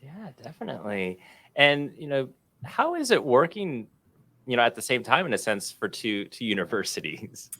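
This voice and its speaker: Male podcast host, animated pitch, upbeat, chatty, lots of fillers. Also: normal speed, husky. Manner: upbeat, lively, frequent fillers. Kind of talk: interview podcast hosting.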